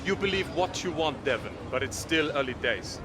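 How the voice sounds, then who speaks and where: An actor plays a stern young man who drives for a racing team in a game cutscene. His voice is somewhat high and his manner earnest and direct. Loud background noise surrounds him. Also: animated, normal speed, close to an everyday voice. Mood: earnest, dismissive. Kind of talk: dramatic video game cutscene acting.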